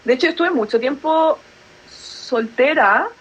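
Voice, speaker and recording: A woman's voice: sits high, expressive, unhurried, low-quality recording. A young adult woman from Chile with a Chilean Spanish accent, chatting casually as a podcast guest and laughing easily between thoughts. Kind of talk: casual conversational interview.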